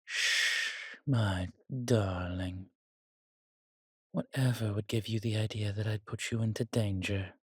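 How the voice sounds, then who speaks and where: Man voicing a husky, courtly vampire seducer. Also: on the low side, steady tone, takes it slowly, fairly bright tone, dry recording, clean studio sound, exaggerated voice. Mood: seductive, adoring. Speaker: voice actor in an audio drama. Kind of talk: intimate romantic audio roleplay addressed to the listener.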